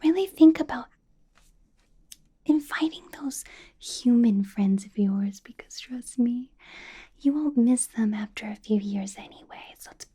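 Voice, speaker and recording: A woman playing a doting, possessive vampire boss in a soft, breathy whispered ASMR roleplay, coaxing and fussing with a lightly bossy edge. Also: fairly high pitch, a little slow. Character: doting, possessive female vampire boss, older than she looks.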